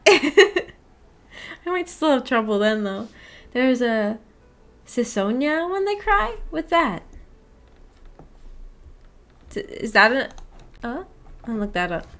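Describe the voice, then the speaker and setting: A woman with a fairly high voice hosts a casual livestream. She rambles in a giggly, self-deprecating way as she reads and answers comments from viewers. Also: big pitch swings, slow pace, long pauses, a bit bright. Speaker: livestream host of a visual novel book club.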